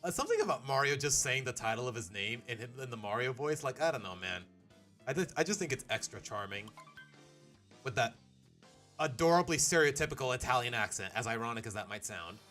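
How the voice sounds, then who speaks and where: A man's voice: medium pitch, animated, a little fast, a bit bright, faint music behind. A man live-streaming a video game, chatting casually to his viewers and thinking aloud as he plays. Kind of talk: casual live-stream chat while playing.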